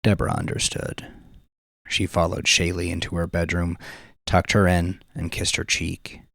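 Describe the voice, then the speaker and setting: Man, very low voice, gravelly fry, dramatic audiobook narration, dry close mic, faint music. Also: monotone, normal speed, a bit bright, dry recording. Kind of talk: dramatic scripted story narration.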